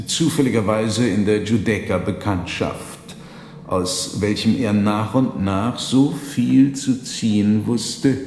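An actor playing a resigned, candid prisoner, a conjurer speaking formally and deferentially in a German radio drama. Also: male voice, on the low side, a little mellow. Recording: echoey hall, audible background noise.

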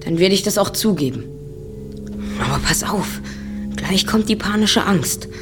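A woman's voice: mid-range voice, lively intonation, unhurried, over music, close to an everyday voice. A woman playing a self-assured, brainy teenage boy detective in an audio drama, precise and a little pompous. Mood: confident, lecturing.

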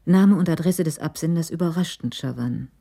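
A woman's voice: low-pitched, brisk, vocal fry, warm tone. A woman narrating a radio crime drama from a script in the role of storyteller.

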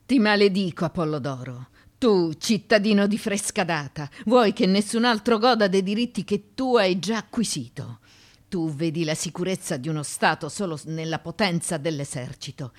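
A woman's voice: fairly low pitch, natural voice. An actress playing an ancient Greek mother haunted by her childhood, in a theatrical radio monologue of broken musing. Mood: bitter, accusing.

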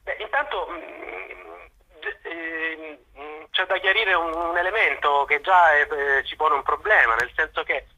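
A man being interviewed on radio, explaining his ideas haltingly, searching for words and repeating them, over thin phone-like audio. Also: on the high side, bright, forceful tone. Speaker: interview guest, journalist.

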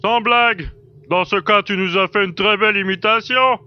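A man's voice: high voice, steady tone, moderate pace, dull audio, slight background noise, lightly acted. A man voicing a sarcastic male alien crew member who talks in a mocking, exclaiming way.

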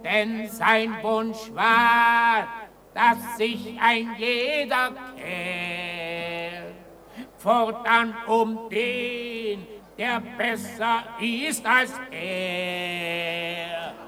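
An actress playing a mocking street ballad singer in a radio play, half singing and declaiming to the common folk. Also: female voice, fairly high pitch, extremely slow, music in the background. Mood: declamatory, sly. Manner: declamatory, theatrical, half sung.

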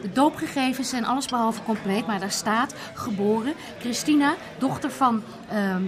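A woman's voice: on the high side, moderate pace, over music, natural voice. An actress playing an easygoing young woman in a naturalistic Dutch radio drama, speaking casually with small hesitations. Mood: matter-of-fact. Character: easygoing young woman, the man's supportive partner.

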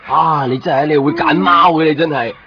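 A man's voice: a little high, fairly even intonation, a little fast, vocal fry, noisy background. An actor playing a lovelorn, brooding and easily exasperated young man in a Cantonese radio drama. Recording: dull 1990s radio recording.

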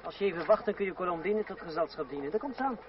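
An actor playing a bold, self-assured young lover in a radio play, with a brisk theatrical delivery. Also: male voice, high-pitched, expressive, crowd noise. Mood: animated. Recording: dull, noisy old radio recording, distant.

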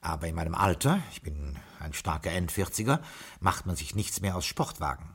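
A man's voice: low voice, very animated, moderate pace, a bit bright, slightly rough, heavily acted. An actor playing a formal, dryly ironic butler in his late forties in a 1950s radio drama. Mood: wry narration. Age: 40s.

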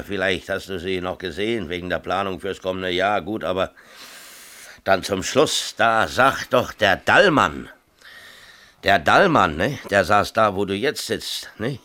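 Low-pitched middle-aged mayor, slow, insistent, self-pitying, rambling, radio play. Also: male voice, pushed and bright. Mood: agitated, brooding. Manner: rambling, insistent, peppers talk with tag questions. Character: agitated, self-pitying small-town mayor and exasperated father. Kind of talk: 1970s East German radio drama acting, colloquial dialogue.

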